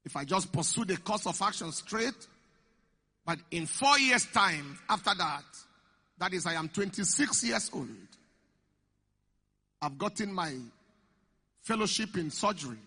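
A man preaching a sermon, stressing key phrases and repeating them slowly. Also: sits high, expressive, bright, forceful tone, breathy edge. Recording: echoey hall.

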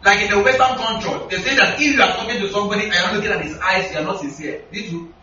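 Nigerian preacher in an echoey hall. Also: male voice, high-pitched, very fast, bright, forceful tone, a little hiss or hum. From northern Nigeria. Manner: asks the congregation questions often. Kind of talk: sermon with call and response. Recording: echoey, distant, slightly muffled hall recording.

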